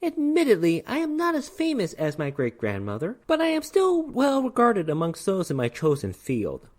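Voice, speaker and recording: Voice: mid-range voice, huge pitch swings, normal speed, darkish tone, voice pushed up. A narrator voicing a stoic, wry, dapper male demon hunter in a dramatic story reading. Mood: proud, wry.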